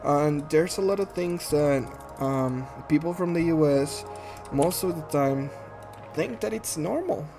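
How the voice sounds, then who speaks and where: A man in his thirties from Guatemala, speaking English with a Guatemalan Spanish accent, introducing himself in a shy, halting way full of ums and restarts. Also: fairly high pitch, lively intonation, over music. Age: 30s. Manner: shy, soft-spoken, earnest. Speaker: gaming channel host. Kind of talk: casual self-introduction while playing a game.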